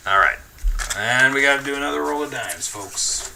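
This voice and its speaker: A man hosting a livestream, chatting casually and reading viewer comments in a relaxed, easygoing way, laughing often and thinking aloud with fillers. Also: mid-range voice, normal speed, vocal fry, background noise. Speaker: livestream host.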